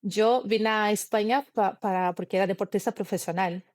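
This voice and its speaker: A woman's voice: medium pitch, steady tone, darkish tone, no room sound, studio quality. A woman from Brazil, speaking her as an interview guest and telling a personal story earnestly, with frequent restarts. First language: Portuguese. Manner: earnest, halting, many restarts and fillers.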